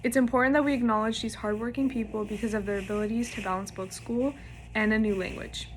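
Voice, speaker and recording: Teen female reporter, friendly, slightly high, light room noise. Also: steady tone, quick pace, poor audio. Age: teens. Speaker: student reporter. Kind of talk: reporting and interviewing.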